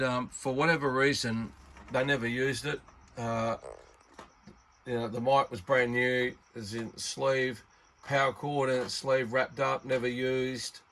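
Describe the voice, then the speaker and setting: A man's voice: medium pitch, sharp tone, slight background noise. A middle-aged man chatting casually about old radios in a rambling, enthusiastic way, with lots of fillers. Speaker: radio hobbyist vlogger. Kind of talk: casual show-and-tell vlog.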